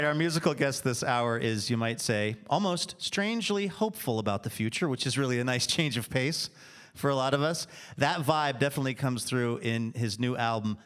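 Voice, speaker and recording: A man's voice: medium pitch, a bit bright, clean tone. A male radio show host announcing upbeat and friendly in front of a live theater audience. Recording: live theater broadcast with music and crowd.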